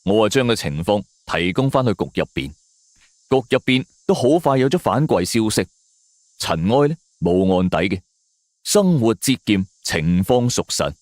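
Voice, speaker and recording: Low male voice, lively swooping intonation, dramatic suspense narration, dry close sound. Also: normal speed, no echo. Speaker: audio story narrator. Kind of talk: scripted suspense story narration.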